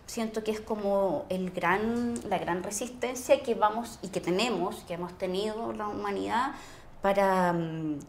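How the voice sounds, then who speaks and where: A Chilean woman talking as a podcast guest, reflective and casual, with searching, halting phrasing. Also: medium pitch. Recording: roomy echo, faint background noise.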